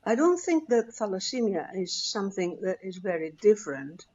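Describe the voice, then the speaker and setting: A woman, a clinical psychologist speaking on a panel in a measured, thoughtful way with many hesitations. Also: mid-range voice, sing-song, a little mellow, breathy edge, muffled recording. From Greece. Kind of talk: panel discussion.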